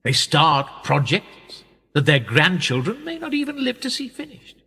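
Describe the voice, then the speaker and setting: Male actor as pompous, manipulative British establishment man for radio drama; measured condescension, very lively pitch, reverberant sound. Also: breathy edge, full character voice. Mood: lecturing. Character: smug, wealthy upper-class male power broker, condescending and manipulative. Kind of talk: radio play acting. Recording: reverberant hall-like echo.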